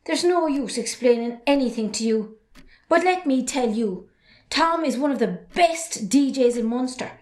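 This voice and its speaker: A cheeky, outspoken young Irishwoman , acted by a woman, with a high voice. She is a farmer's daughter in drama dialogue, defiant and sardonic, holding her ground. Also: expressive, moderate pace, a little mellow.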